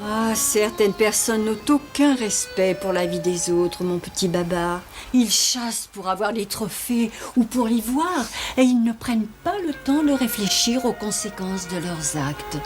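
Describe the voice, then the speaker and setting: Woman as kindly old lady, cartoon mentor, refined, gentle, animated intonation, faint music behind. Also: mid-range voice, measured pace, few pauses, natural voice. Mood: soothing. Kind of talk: French-dubbed cartoon acting.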